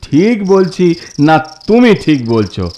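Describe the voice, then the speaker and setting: A man voicing an excitable, blustering village husband in a cartoon, exclamatory and easily rattled. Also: high voice, a little slow, slight background noise. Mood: dismissive. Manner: blustering, exclamatory, stammering when scared. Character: excitable, dismissive village husband who turns cowardly. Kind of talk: cartoon voice acting.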